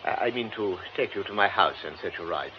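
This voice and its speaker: An actor playing a courteous, insistent gentleman who speaks formally and stammers as he begs someone to come with him. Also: on the low side, animated, fast, lightly acted. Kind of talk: radio drama acting. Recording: muffled old radio play with faint hiss.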